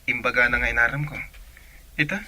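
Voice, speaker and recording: Young Ilocano sailor boyfriend, very sharp forceful tone, radio play. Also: male voice, mid-range voice, steady tone, a little fast. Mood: indignant. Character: young seaman, defensive boyfriend and reassuring son. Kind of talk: radio comedy-drama acting.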